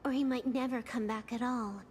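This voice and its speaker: Wistful, high-voiced young woman, played by a woman. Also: steady tone, a little fast, darkish tone, a little hiss or hum, close to an everyday voice. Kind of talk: video game voice acting.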